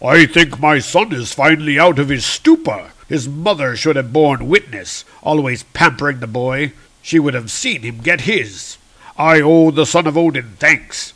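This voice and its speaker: A man's voice: on the high side, a little slow, fairly bright tone. Man reading as a stern godly patriarch. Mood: stern satisfaction. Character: stern, lordly father of the gods.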